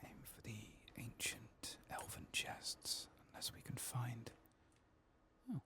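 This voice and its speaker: A soft-spoken man murmuring and whispering ASMR commentary as he plays a video game. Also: medium pitch, little pitch movement, slow. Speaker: ASMR gaming streamer. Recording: clear background noise with clicking.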